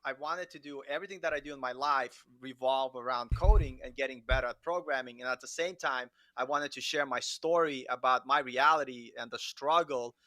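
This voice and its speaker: Man, middle-aged, bright forceful voice, casual livestream chat, informal and enthusiastic, dry close sound. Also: mid-range voice, a little fast, few pauses, dry recording, rough recording. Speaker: guest developer and content creator.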